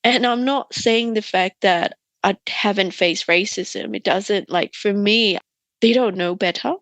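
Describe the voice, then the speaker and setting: A woman's voice: medium pitch, unhurried, no room sound. A young adult woman from Myanmar with an Australian accent, telling stories as a guest in a casual interview. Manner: animated storytelling, laughing often.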